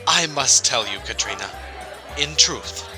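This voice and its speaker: A man's voice: mid-range voice, expressive, unhurried, a crowd in the background, natural voice. A man playing a nervous, boastful, lovesick schoolmaster with a fussy, hesitant manner in an old-time radio drama style. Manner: stammering, fussy, genteel, hesitant. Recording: music underneath.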